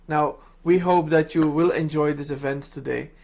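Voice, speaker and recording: A man's voice: a little high, fairly even intonation, normal speed, vocal fry, darkish tone. A man reading scripted announcements as host of an online event, in a polite, formal way.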